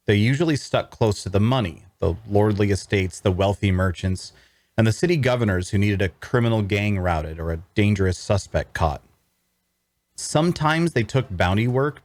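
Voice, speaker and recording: A man's voice: on the low side, darkish tone, dry recording, natural voice. An actor playing an earnest, cash-strapped traveler, telling his tale in first-person fantasy narration and dialogue. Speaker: narrator and lead actor in a table read.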